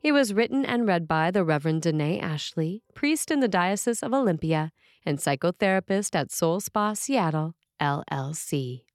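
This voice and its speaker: Low-pitched woman reading a sermon. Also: expressive, moderate pace, no echo, clean studio sound. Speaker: preacher, priest. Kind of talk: scripted sermon read aloud.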